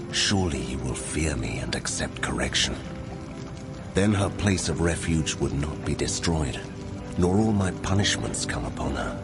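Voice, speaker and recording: An actor voicing God as a solemn, commanding divine judge in a dramatized scripture reading, speaking in measured declarations. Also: male voice, very deep voice, full character voice. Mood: stern, wrathful. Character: God, a wrathful yet restoring male divine judge.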